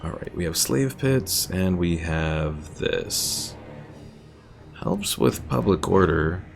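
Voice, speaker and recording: A man's voice: low voice, slow, vocal fry, soft background music. A man streaming a strategy game, casually answering chat and commenting as he plays. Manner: relaxed, chatty, answers chat while playing.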